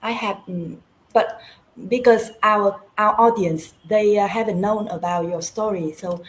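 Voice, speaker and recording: A woman's voice: medium pitch, fairly even intonation, a little slow, low-quality recording. A woman co-hosting an interview, talking with many fillers and restarts. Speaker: co-host. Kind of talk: interview conversation.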